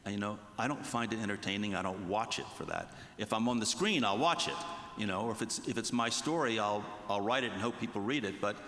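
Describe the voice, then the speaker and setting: A man speaking as a writer on a panel, giving considered commentary in a measured, halting, reflective way. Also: on the low side, fairly bright tone. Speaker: true crime writer on a panel. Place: large reverberant festival venue. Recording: echoey hall with long reverb.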